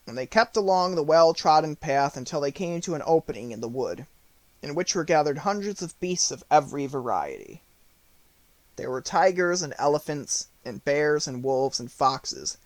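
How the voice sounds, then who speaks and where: Man, audiobook narrator, scripted storybook reading, higher-than-average pitch, no room echo.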